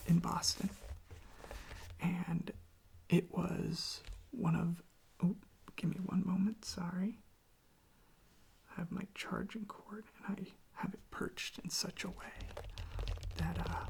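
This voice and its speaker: Creaky, whispering soft-spoken ASMR creator. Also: medium pitch. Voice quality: whispery, breathy with creaky fry. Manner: casual, enthusiastic, meandering. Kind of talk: soft-spoken ASMR, rambling music talk. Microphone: close ASMR microphone.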